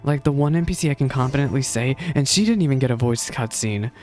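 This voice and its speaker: A woman with a remarkably low voice reacts live as a game streamer. Her talk is casual and conversational, with soft game music in the background. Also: fast.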